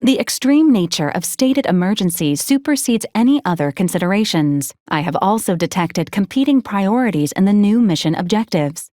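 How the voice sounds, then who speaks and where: A woman voicing a formal, dutiful female robot assistant in a video game, speaking in a measured, precise, deadpan way. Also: medium pitch, no room sound, clean studio sound, close to an everyday voice. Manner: clipped, formal, deadpan, measured machine-like delivery. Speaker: mission briefing assistant to a commander. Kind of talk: scripted video game dialogue.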